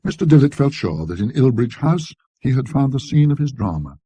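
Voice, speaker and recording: A man narrating a classic ghost story in a precise, scholarly, measured manner. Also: medium pitch, very animated, warm tone, slightly rough, dry recording.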